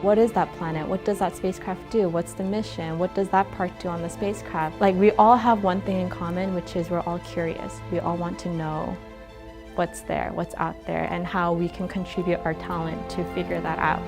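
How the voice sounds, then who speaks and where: A woman with a slightly low voice speaks in casual interview talk. She is chatty and enthusiastic and uses fillers. Clear music runs behind her. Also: fairly even intonation, normal speed. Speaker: visual strategist.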